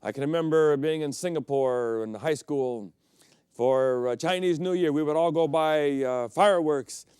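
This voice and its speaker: A middle-aged man preaches with a smooth, resonant voice, recorded dry with no room sound. He tells personal stories as a preacher would. His delivery rises to loud, emphatic peaks and drops into hushed, low stretches. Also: normal speed, dry recording. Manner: swings between loud emphatic peaks and quiet low passages; storytelling preacher, personal anecdotes. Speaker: pastor. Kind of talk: sermon.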